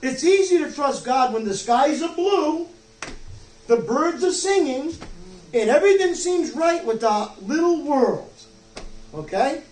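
High-pitched older man preaching, roomy sound. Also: darkish tone. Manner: emphatic, rhetorical questions, check-ins like "okay?" and "you see?". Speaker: pastor. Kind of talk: sermon. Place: church sanctuary, preaching from a wooden pulpit. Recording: roomy distant mic, some background noise.

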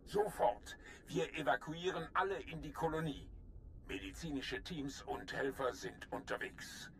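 An actor playing a jovial, dapper military general with dry wit in a game, speaking emphatically. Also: male voice, fairly high pitch, measured pace, vocal fry, fairly bright tone, slight background noise. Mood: brisk. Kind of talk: dramatic game voice acting.

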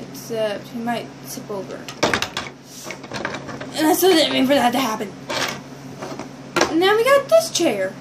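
A young teenage girl chatting casually and ramblingly to the camera while showing toys, recorded on a built-in device mic in a bedroom. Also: fairly high pitch. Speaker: vlogger showing toy builds. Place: small bedroom, indoors. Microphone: built-in device mic at arm's length. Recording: noisy amateur webcam audio.

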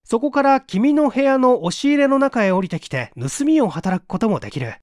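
A man's voice: very high-pitched, big pitch swings, moderate pace, a little mellow, no echo, studio quality, pitched above the performer's own voice. A man voicing a smug, teasing amateur detective who boastfully explains his tricks in a mystery audiobook. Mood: musing, excited. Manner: taunting, self-satisfied, explanatory. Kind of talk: dramatic audiobook reading of a mystery story.

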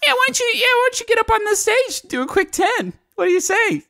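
A man's voice: very high-pitched, expressive, fast talker, gravelly, bright, forceful tone, dry recording, studio quality, pitched above the performer's own voice, heavily acted. A man voicing a sly, eager office boss egging someone on in a comedy riff. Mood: gleeful egging.

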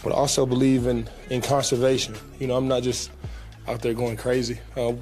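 A young man, a pro football player, answering reporters at a press conference in a relaxed, casual way, with many fillers and repeated words. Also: mid-range voice, fairly quick, faint music behind. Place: team press room, indoors. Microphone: table mic in front.

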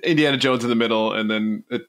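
A man with a mid-range voice on a gaming podcast. He talks in a relaxed, easygoing way and often laughs at himself. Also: medium pitch, fast. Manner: laid-back, joking. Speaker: podcast co-host. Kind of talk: casual chat.

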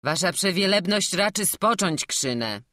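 A woman's voice: on the low side, animated, measured pace, pushed and bright, dry recording, clean studio sound, voice pushed up, full character voice. A woman voicing a courteous male gatekeeper who speaks politely and deferentially.

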